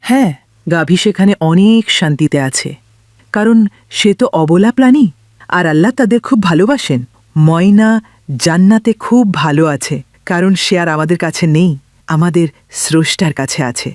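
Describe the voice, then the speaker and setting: A performer voicing a pious, gentle cartoon character who consoles and moralises in an earnest, reassuring way. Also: mid-range voice, lively intonation, normal speed, no echo, clean studio sound, lightly acted. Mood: consoling, earnest.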